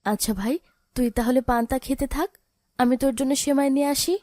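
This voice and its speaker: Woman acting a high-voiced, plaintive orphaned big sister. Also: fairly even intonation, fast talker, warm tone, no room sound, clean studio sound, natural voice. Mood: helpless, coaxing. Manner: plaintive, pleading. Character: poor orphaned big sister, gentle, sorrowful, pleading. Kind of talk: dramatic cartoon voice acting.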